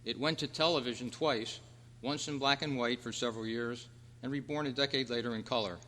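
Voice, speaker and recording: Male quiz host, mid-pitched voice, reading questions aloud at a club meeting, heard with long hall reverb. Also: steady tone, fairly quick, a bit bright. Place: indoor meeting room at a convention.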